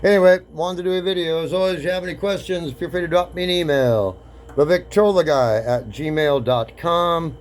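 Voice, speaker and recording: A man's voice: on the high side, a little fast. Man presenting a hobby video, noisy background. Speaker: host of a record-cutting hobby video series. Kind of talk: casual hobby demonstration to viewers. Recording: clear background noise.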